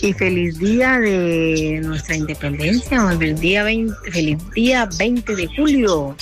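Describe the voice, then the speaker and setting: Female radio listener, lowish voice, music clearly behind her. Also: animated, unhurried. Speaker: radio listener sending a song request. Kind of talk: casual greeting and request.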